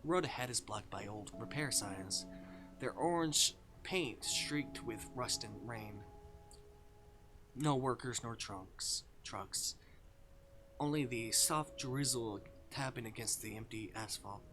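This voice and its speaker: A male streamer reading a visual novel aloud with expressive, dramatic delivery and casual commentary. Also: medium pitch, takes it slowly. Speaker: game streamer. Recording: music behind the voice.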